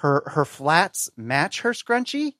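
A man's voice: on the high side, big pitch swings, slow, sharp tone, no room sound. Guy guesting on a podcast, wry and emphatic, casual, very dry sound. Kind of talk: casual chat.

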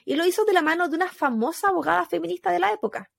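A woman with a Chilean accent telling a true crime story in casual podcast chat, animated, with fillers and laughs. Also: very high voice, very fast, vocal fry, no room sound, studio quality.

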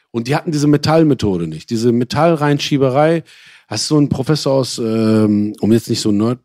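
A man's voice: medium pitch, darkish tone, dry recording, clean studio sound. A man born in Iran who grew up in Germany, talking casually and personally as an interview guest, in slangy, colloquial language with digressions and self-corrections.

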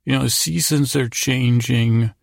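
A man hosting a bedtime podcast with a rambling improvised monologue, halting and stammering as he drifts into tangents and long pauses. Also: mid-range voice, steady tone, dry recording, studio quality. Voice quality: gravelly, creaky voice. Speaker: podcast host. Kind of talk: rambling, improvised bedtime monologue meant to lull listeners to sleep.

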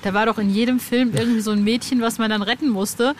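A woman's voice: on the high side, fairly even intonation, fast. A woman with a German accent interviewing a guest in a colloquial, chatty, fan-like way. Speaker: podcast interviewer. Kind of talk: casual interview chat. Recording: clearly noisy background.

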